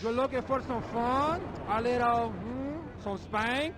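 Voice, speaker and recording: An extremely high-voiced, oily street pusher with a Spanish accent. He is friendly and ingratiating, in crime game style, over faint street noise. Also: slow. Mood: oily, eager. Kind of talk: video game cutscene acting.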